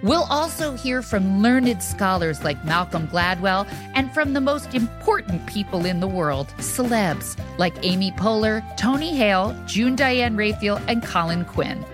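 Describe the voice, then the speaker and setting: A woman comedian reads a comic promo with mock pomp and brashness. Her mid-pitched voice moves up and down a lot, over clear music. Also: expressive, a little slow, hardly any pauses. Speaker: comedian, podcaster.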